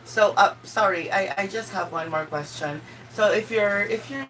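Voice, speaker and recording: A woman with a Filipino accent talking casually and politely, with halting, hesitant phrasing. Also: mid-range voice. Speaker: vlogger and job applicant. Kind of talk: casual, polite phone conversation and vlog talk. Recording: distant mic, clearly noisy background.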